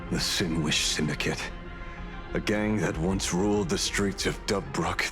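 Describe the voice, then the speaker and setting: An actor playing a world-weary, middle-aged detective, grim and resigned, in a dramatic game. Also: male voice, deep voice. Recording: music behind the voice.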